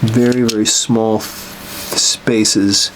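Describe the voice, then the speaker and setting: A man giving an unscripted hands-on repair tutorial, explaining step by step in a halting way and repeating words as he works. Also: lowish voice, level tone, slight background noise, low-quality recording. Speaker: instructor demonstrating cassette tape repair.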